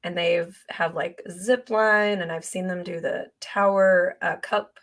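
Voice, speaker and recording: A young woman co-hosting a podcast in casual chat, her voice hoarse and scratchy from losing it, laughing often. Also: lowish voice, fairly even intonation, a little slow, a bit bright. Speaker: podcast co-host.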